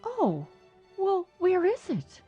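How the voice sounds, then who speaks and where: A man's voice: very high-pitched, huge pitch swings, slow pace, pitched above the performer's own voice, heavily acted. An earnest young boy speaks in a piping, swooping voice far above the reader's own. He sounds breathless and fond, sometimes bossy. The storybook recording is dull, with faint music behind. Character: eager, affectionate young boy.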